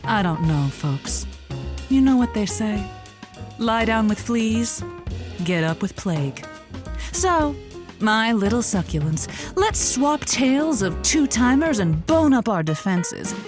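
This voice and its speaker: A woman playing a sharp-tongued, dramatic radio host in an audio comedy, with an arch, wordy delivery. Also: on the high side, very animated, slow, music in the background. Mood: showy, arch. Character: theatrical, sharp-tongued woman hosting a lonely-hearts radio advice show. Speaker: radio advice show host.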